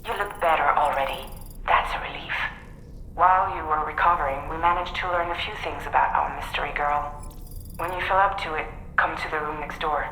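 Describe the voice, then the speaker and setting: An actress playing a caring comrade in a video game, speaking gently and reassuringly. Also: female voice, deep voice, steady tone, fairly quick, roomy sound, a little hiss or hum, poor audio. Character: caring female comrade checking on a recovering man. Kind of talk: video game cutscene acting.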